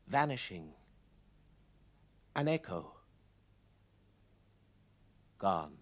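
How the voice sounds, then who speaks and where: An actor playing an awed, haunted young spacefarer, narrating poetically and solemnly in a 1960s radio drama. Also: male voice, mid-range voice. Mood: desolate wonder. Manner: theatrical, solemn, incantatory. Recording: noisy 1960s radio recording.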